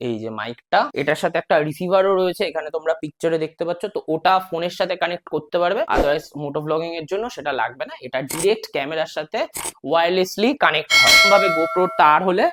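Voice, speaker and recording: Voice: mid-range voice, quick pace, bright, forceful tone. A vlogger casually walking through a gear setup step by step, speaking informally and directly to the viewer with English words mixed in. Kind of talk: casual product walkthrough, explaining step by step.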